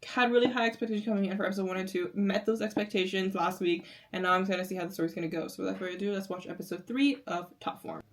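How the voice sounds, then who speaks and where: A woman's voice: medium pitch, steady tone, rapid-fire, hardly any pauses. An American woman reacting to a series in casual commentary, rambling with many filler words. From United States.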